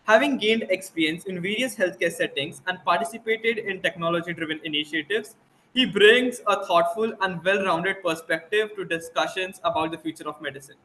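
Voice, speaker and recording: Male host, high pitch, bright tone, formal, brisk bio reading, faint background hum. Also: low-quality recording. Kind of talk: scripted introduction and interview questions.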